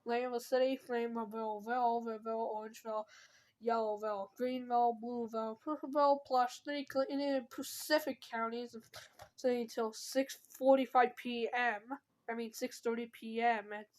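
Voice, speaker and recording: A woman's voice: a little high, fairly even intonation, moderate pace, a little mellow. A woman announcing a scripted emergency weather bulletin, stumbling at times and correcting herself.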